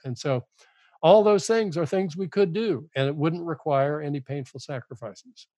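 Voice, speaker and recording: A man's voice: fairly high pitch, moderate pace, dark tone, no echo. An older man, a guest author on a podcast, making his case earnestly and often repeating words as he goes. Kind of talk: podcast interview.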